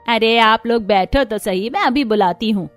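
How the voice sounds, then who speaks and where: A woman voicing a caring middle-aged mother-in-law who is gentle with her daughter-in-law and firm with her son. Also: a little high, normal speed, faint music behind, lightly acted. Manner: kind but stern.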